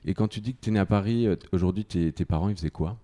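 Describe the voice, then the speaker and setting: A man hosting a podcast, reading a smooth scripted intro and then asking questions with hesitant fillers. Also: sits low, fast talker, mellow, no room sound. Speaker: podcast host.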